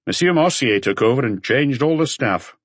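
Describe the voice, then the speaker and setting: A man's voice: medium pitch, lively intonation, normal speed, no echo, voice pushed up, lightly acted. A man voicing a short, grumpy accountant in an audiobook, curt and guarded in manner. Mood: guarded, explaining. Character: short grumpy male accountant, defensive but talkative.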